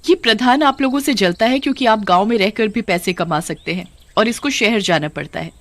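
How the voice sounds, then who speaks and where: Scheming cartoon businesswoman, voiced by a female narrator: persuasive, insistent, raised pitch, dry recording. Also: brisk, dry recording, lightly acted. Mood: insistent, promising. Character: beautiful, persuasive, scheming female factory owner.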